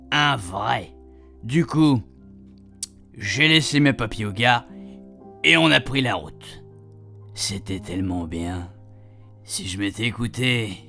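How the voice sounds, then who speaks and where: A man's voice: fairly low pitch, vocal fry, pushed and bright, full character voice. An actor playing a chatty, proud retired husband in a radio fiction, rambling colloquially and speaking straight to his reader. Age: older. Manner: colloquial, digressive, addressing the reader directly. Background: music.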